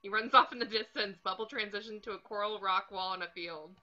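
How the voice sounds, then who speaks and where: A woman's voice: medium pitch, brisk, pushed and bright. A woman narrator reading stage directions from a script, recorded with slightly dull, lo-fi sound.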